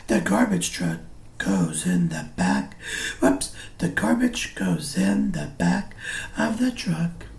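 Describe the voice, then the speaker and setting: A man reading a picture book aloud as a teacher, explaining things simply and deliberately for young children. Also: sits low, huge pitch swings, vocal fry, darkish tone. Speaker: preschool or early grade teacher.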